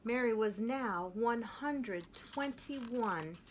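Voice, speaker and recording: A woman reading a children's picture book aloud in an expressive way, slowing down to stress key phrases. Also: on the high side, background noise. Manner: expressive, with slowed emphatic phrases. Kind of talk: storybook read-aloud.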